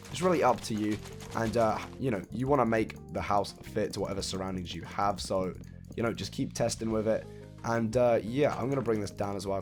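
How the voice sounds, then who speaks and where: A man hoarse and raspy from a recent cold, casually walking viewers through a build step by step. He counts blocks out loud and laughs off his own slip-ups. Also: fairly low pitch. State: hoarse, croaky after a cold. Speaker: video game building tutorial host. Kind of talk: casual step-by-step gameplay walkthrough. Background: faint background music.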